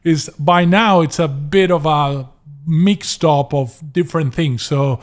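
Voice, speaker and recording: A man's voice: fairly high pitch, slow. A middle-aged man from Venezuela with a mixed Latin American, American and Australian accent, telling his story as an interview guest with frequent restarts and fillers. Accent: Venezuelan Spanish, mixed with American and Australian. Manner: restarts and repeats words, many fillers. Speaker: guest, property investor.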